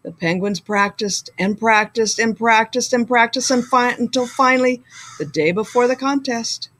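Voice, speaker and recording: A woman reading a children's story aloud like a school librarian, with deliberate, expressive delivery. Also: fairly high pitch.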